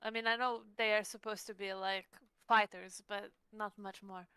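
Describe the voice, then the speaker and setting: A woman's voice: mid-range voice, flat delivery, normal speed, bright, forceful tone, clean tone, no room sound. A woman playing a tabletop roleplaying game, chatting casually and putting questions to the group.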